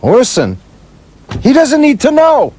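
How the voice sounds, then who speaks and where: An actor playing a blunt, sardonic middle-aged screenwriter who likes a drink, in a slangy radio play style. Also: male voice, very high-pitched, wildly swooping pitch, slow pace, warm tone, a little hiss or hum, full character voice. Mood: irritated.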